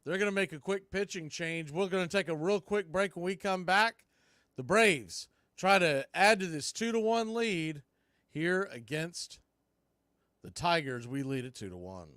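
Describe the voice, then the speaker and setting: A man announces a baseball game live in a slightly high voice. The sound is dry and close. He gives clipped pitch calls that trail off, filling the gaps with player trivia. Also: animated, unhurried, a bit bright, no echo. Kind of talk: live play-by-play commentary mixed with trivia.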